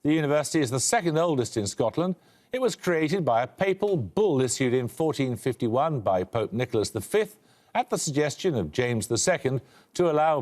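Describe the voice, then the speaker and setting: A man hosting a television quiz show, reading questions and introductions in a crisp, dry, authoritative way with wry asides. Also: a little high, a little mellow. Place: television studio.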